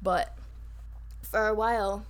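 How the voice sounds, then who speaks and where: A woman with a Barbadian accent co-hosting a podcast, talking fast and emphatically in casual chat. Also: mid-range voice, fairly even intonation. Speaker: podcast co-host.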